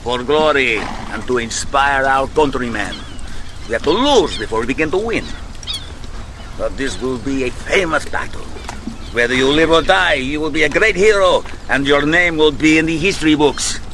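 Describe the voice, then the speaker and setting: A proud, grave rebel general in a radio drama, commanding and measured with dry understatement. His delivery swings with lively inflection, and steady ambient sound effects run beneath him. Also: mid-range voice, slight background noise, poor audio. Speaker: army general leading a hopeless stand.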